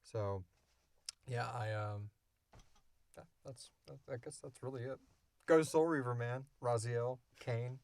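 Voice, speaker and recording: A man's voice: mid-range voice, measured pace, pauses often, darkish tone. A man in his thirties hosting a casual podcast Q&A, rambling along in an enthusiastic, jokey way. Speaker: podcast creator and host. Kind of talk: casual Q&A chat.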